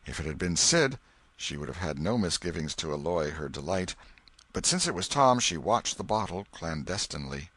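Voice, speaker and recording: Expressive low-voiced male storyteller. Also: no echo. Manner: expressive, varied storytelling delivery. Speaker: narrator. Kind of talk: storybook reading.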